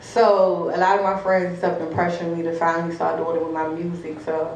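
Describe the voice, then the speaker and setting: A woman's voice: on the low side, completely flat delivery, fairly quick, a little mellow. A woman in her early twenties chatting casually as an interview guest, informal and slangy, often repeating her phrases. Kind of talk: casual chat. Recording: echoey, distant mic, background noise.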